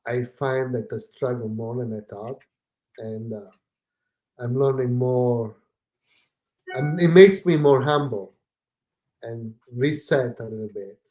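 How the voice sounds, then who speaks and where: A man from Italy with an Italian accent, a podcast guest talking casually and haltingly, with many fillers and restarts. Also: medium pitch, warm tone. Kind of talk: casual conversation.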